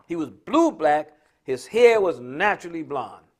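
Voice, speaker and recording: A man's voice: a little high, big pitch swings, measured pace. His voice sits well above most men's. He is an American speaker giving unscripted religious social commentary. He talks in a colloquial, storytelling way and hammers points home by repeating them. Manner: emphatic, repetitive, colloquial storytelling. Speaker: speaker on a religious talk live stream. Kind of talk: impromptu preaching-style social commentary.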